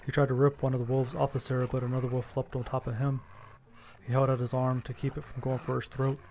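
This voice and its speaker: A man reading scripted first-person horror fiction as its narrator, with a gravelly voice. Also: medium pitch, flat delivery, fast, darkish tone, a little hiss or hum.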